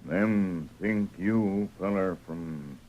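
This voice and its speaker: An actor playing a western hero's stoic, loyal companion in a 1930s radio drama, speaking terse, stilted broken English. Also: male voice, deep voice, very slow. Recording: dull, noisy 1930s radio recording.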